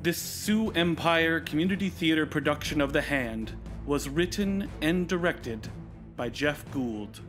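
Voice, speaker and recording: A man reading scripted radio play credits as an announcer, formal and deliberate. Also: fairly high pitch, fairly bright tone. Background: music.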